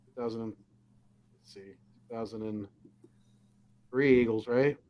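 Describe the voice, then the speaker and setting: A man's voice: medium pitch, fairly even intonation, unhurried, lo-fi sound. A podcast co-host in his thirties with a mid-range voice offers facts and agrees along easily. A faint hum sits under his voice. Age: 30s. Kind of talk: casual podcast chat.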